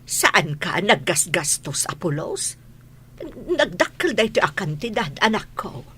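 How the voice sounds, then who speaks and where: Bright, animated Ilocano village mother. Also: on the low side, a little slow, slight background noise, full character voice. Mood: startled, suspicious. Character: astonished, protective village mother of grown children. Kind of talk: Ilocano radio drama acting.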